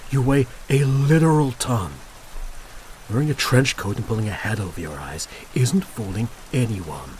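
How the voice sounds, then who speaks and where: A man's voice: medium pitch, lively intonation, moderate pace, lightly acted. A man playing a world-weary, exasperated detective with a dry, sardonic manner in a noir-parody audio drama. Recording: loud rain and thunder noise.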